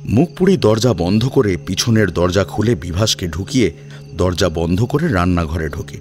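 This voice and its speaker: A man's voice: sits low, animated, normal speed. A man reading a scripted story as a dramatic narrator. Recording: music behind the voice.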